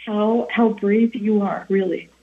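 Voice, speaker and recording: A woman's voice: medium pitch, fairly even intonation, slow pace. Effusive woman radio host, tinny. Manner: effusive, leading questions with tag endings. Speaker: interviewer, host. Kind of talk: radio interview. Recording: phone-like narrow band.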